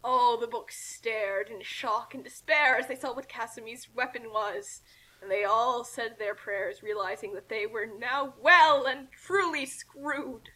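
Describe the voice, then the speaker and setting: High, bright, animated woman reader. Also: measured pace, low-quality recording. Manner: animated, theatrical. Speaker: story reader and commentator. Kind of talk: dramatic fan fiction reading with casual commentary.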